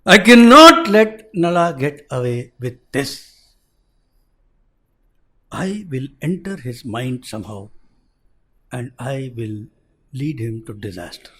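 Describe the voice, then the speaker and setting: A man voicing a jealous, wrathful male demon deity who broods and threatens in a low voice. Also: expressive, slow pace, pauses often, bright, forceful tone, full character voice. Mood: seething.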